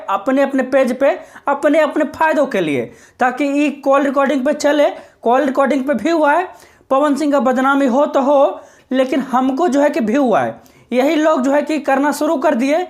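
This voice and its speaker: A woman's voice: high voice, a little mellow. A woman giving informal social media news commentary, explaining insistently and repeating her points.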